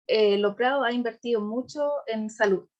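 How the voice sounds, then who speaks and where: A woman with a Chilean Spanish accent and a slightly high voice speaks to an online audience. She often hesitates and restarts her sentences. She tells her story candidly and laughs now and then. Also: moderate pace, highs cut off. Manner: hesitant with frequent fillers and restarts. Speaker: patient giving a talk. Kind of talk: informal presentation on a video call.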